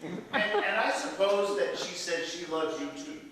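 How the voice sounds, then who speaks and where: An actor playing a skeptical, exasperated older married son in a stage play, needling and incredulous. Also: male voice, high-pitched, big pitch swings, a little slow, fairly bright tone, heavily acted. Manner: incredulous, needling, hesitant repetitions. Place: theatre stage, distant microphone. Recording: echoey stage recording from far off, faint noise.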